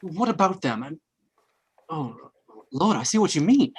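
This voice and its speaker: An actor playing a polite, earnest young fiancé in an old-time radio drama. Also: male voice, on the high side, big pitch swings, measured pace, a little mellow, breathy edge, full character voice. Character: young fiancé, dutiful and curious.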